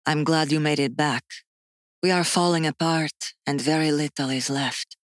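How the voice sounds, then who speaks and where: A man voicing a calm monstrous entity that speaks through a woman in an audiobook, composed and commanding. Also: on the high side, normal speed, dry recording, studio quality, pitched above the performer's own voice, lightly acted. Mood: urgent, composed.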